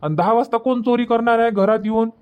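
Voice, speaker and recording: Man voicing a high-pitched exasperated cartoon husband. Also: quick pace, a little mellow, smooth. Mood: dismissive. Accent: Varhadi Marathi from Vidarbha. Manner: insistent, repetitive, reproachful. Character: exasperated husband and son in a village household. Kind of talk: cartoon comedy dubbing.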